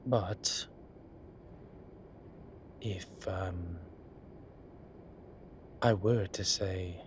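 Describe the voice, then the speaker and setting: A man voicing a flirtatious demon professor who keeps up a genteel, professional front, speaking softly and often in a whisper. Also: low-pitched, steady tone, a little hiss or hum. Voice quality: soft, often whispered, unhurried. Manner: teasing, measured, genteel. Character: flirtatious male demon professor, composed, teasing. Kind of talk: audio roleplay.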